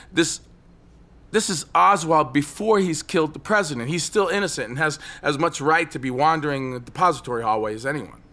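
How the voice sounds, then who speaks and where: An actor playing a brooding, desperate man on a ledge in an audio drama, telling his story in an intense, halting, obsessive way. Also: on the high side, sharp tone. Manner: intense, halting, obsessive monologue with repeated phrases.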